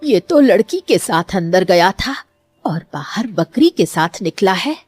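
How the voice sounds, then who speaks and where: A woman performs an old village woman from a cartoon morality tale. She is slightly husky and unhurried, full of suspicion and alarm, asking herself dramatic questions over faint music. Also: mid-range voice, sing-song, darkish tone, dry recording.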